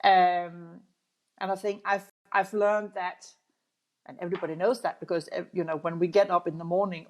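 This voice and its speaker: A middle-aged Danish woman speaks English with a Danish accent, in a fairly low voice. She answers as a podcast guest, measured and thoughtful, often pausing and starting over. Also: darkish tone. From Denmark. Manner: thoughtful, many hesitations and restarts. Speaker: guest, audit executive. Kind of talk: interview answers.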